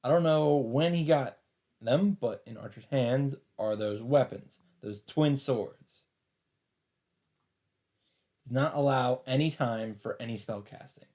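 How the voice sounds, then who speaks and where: A man's voice: mid-range voice, takes it slowly, pauses often, warm tone. A man doing a let's play, reading visual novel narration aloud with casual, sometimes sweary commentary. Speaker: let's player.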